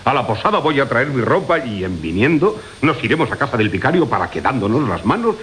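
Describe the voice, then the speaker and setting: An actor playing a swaggering, wheedling rogue suitor in a theatrical radio comedy, declaiming in Castilian Spanish with sly muttered asides. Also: male voice, mid-range voice, sing-song, a little fast, fairly bright tone. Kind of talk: theatrical Golden Age comedy radio acting. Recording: hissy 1980 radio drama recording.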